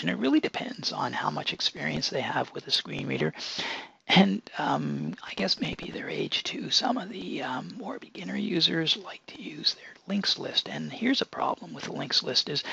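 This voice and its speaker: Hoarse, gravelly male consultant, tense bright edge, dull lo-fi recording. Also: on the high side. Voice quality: hoarse, whispery voice. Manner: casual, unscripted explaining with many fillers. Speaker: accessibility consultant. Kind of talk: demonstrating software.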